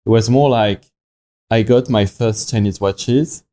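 A man's voice: on the low side, a little slow, warm tone. A young man from France with a French accent, telling stories casually and informally as an interview guest, often acting out his own past thoughts. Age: young adult. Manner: informal, frequent self-quoting in anecdotes. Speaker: podcast guest, watch company founder. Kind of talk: casual conversational storytelling.

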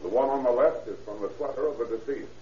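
A man playing a courteous, methodical defense attorney in an old-time radio courtroom drama, speaking formally and deliberately. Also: medium pitch, warm tone, natural voice. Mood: formal questioning. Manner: formal, deliberate, politely lawyerly. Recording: echoey, muffled old radio recording, faint hiss.

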